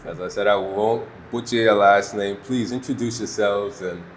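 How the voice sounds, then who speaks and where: A man's voice: lowish voice, moderate pace. A man hosting a casual interview, asking questions in colloquial language with brief interjections. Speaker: host, interviewer. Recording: clear background noise, ambience.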